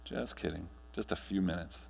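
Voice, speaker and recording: A fairly deep-voiced American man in his thirties addresses a conference room as a keynote trainer. He gives measured, instructional guidance with deliberate pauses. His voice carries the reverb of a large hall. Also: vocal fry, slight background noise. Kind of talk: guided breathing meditation and talk to an audience. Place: large hotel conference ballroom, on stage.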